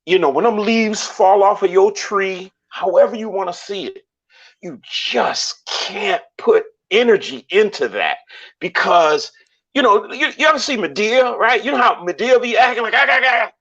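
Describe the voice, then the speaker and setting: A man's voice: high-pitched, expressive, normal speed, a bit bright. A man raised in Savannah, Georgia, with a Southern accent, talking in a casual, preachy way with many restarts. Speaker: show host. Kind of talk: casual motivational talk. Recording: dull lo-fi audio.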